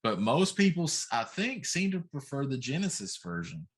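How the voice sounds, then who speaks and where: A man with a medium-pitched voice co-hosts a casual panel discussion. He speaks conversationally, as one of a group trading opinions. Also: big pitch swings, moderate pace. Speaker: podcast co-host.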